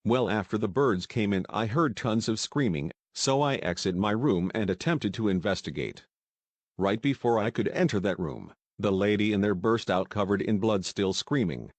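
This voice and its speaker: A fairly low-voiced male survivor acted by a man recounts a disaster as a news eyewitness. The delivery is deliberate and recited, with a dry, roomless sound. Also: dry recording, natural voice. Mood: grim, recounting. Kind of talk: scripted news interview testimony.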